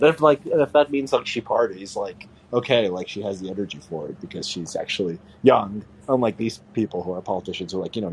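A man's voice: lowish voice, darkish tone, a little hiss or hum, low-quality recording. A man co-hosting a podcast, rambling casually with lots of "like" and "you know". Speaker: podcast co-host. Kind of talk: casual chat.

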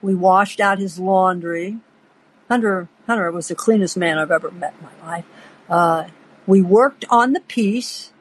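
A woman's voice: lowish voice, a little slow, slight background noise, poor audio. An older woman hosting a podcast, telling long, digressive anecdotes in a chatty way and breaking off to laugh. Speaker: podcast host. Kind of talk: rambling anecdotal storytelling.

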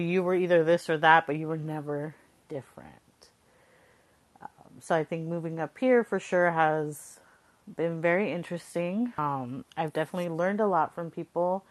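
A young woman from Southern California, reflecting casually and conversationally, peppering her talk with "like" and checking in with "right?". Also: deep voice. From Southern California, Coachella Valley. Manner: informal, conversational, frequent 'like' and tag 'right?'. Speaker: podcast speaker.

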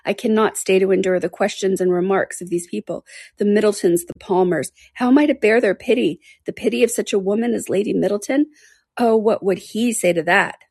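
A woman's voice: mid-range voice, fairly quick, a little mellow, slightly rough, no echo, clean studio sound. A woman reading aloud and voicing a heartbroken, passionate young woman who pleads in anguish. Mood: distraught.

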